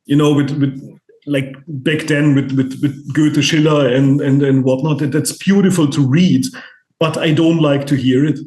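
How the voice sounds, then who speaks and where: A man with a German accent talks as a podcast guest, his voice sitting fairly high for a man. He stumbles and restarts a lot and swears freely. The recording carries a roomy echo. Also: steady tone, moderate pace, dark tone. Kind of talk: casual conversation.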